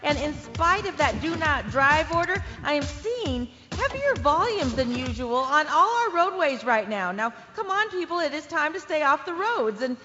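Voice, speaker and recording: Bright, high-voiced female radio traffic reporter played by an actress. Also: very animated, normal speed, hardly any pauses, heavily acted. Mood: uneasy, insistent. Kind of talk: live radio traffic report in an audio drama. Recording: dull lo-fi, long reverb, music underneath.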